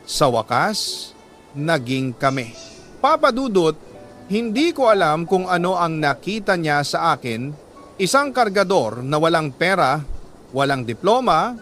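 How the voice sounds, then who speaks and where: Filipino guy reading a dramatic love story, kinda high voice, heartfelt and deliberate, some noise behind him. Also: a bit bright. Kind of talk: scripted dramatic first-person narration.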